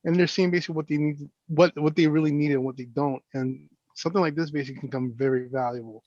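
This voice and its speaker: A man's voice: a little high, steady tone, quick pace, a little mellow, no echo, highs cut off. A man in his forties hosting a casual interview, chatty and joking, jumping in with questions. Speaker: host.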